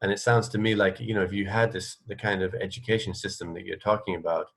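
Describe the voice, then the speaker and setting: Low-voiced man hosting a casual interview. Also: fairly even intonation, fast, gravelly, a bit bright, husky. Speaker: radio interviewer.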